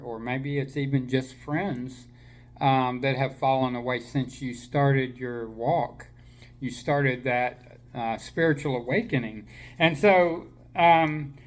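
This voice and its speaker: Male spiritual teacher, slightly raised voice, slow unhurried delivery, a bit of a cold, gentle, lots of ums. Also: a little high. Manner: slow, gentle, with many fillers and restarts. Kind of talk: spiritual talk to listeners.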